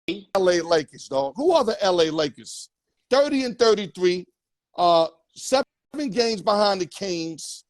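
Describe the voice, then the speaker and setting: A man's voice: sits high, lively intonation, unhurried, no room sound. A man hosting a casual sports talk show, loose and joking, full of street slang. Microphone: headset or earpiece.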